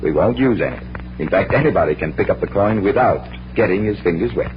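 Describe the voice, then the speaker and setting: An actor playing a confident magician detective, commanding and instructive, in an old-time radio drama. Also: male voice, deep voice, lively intonation. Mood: showmanlike, instructive. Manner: brisk, authoritative explaining. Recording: muffled old radio recording with hum.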